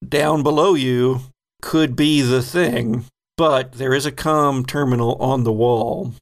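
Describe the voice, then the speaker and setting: A man running a tabletop game as game master, setting scenes in an unhurried, descriptive way. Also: mid-range voice, steady tone.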